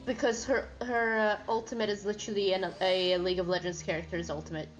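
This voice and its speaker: Woman with mid-pitched voice, dry chat. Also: little pitch movement, fairly quick, dull audio. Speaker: co-player on a gaming stream. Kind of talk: casual gaming chat. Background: game music.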